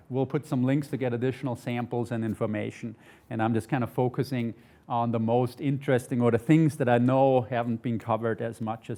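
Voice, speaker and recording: A man's voice: on the high side, darkish tone. A middle-aged man from Austria giving an informal technical presentation in a conversational way, often tagging statements with "right?". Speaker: conference presenter, software developer. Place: indoor studio stage with desk and laptop.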